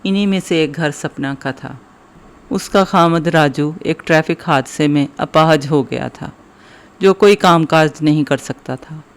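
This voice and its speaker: A man's voice: a little high, unhurried, clear, resonant tone. A man narrating a scripted moral story for children.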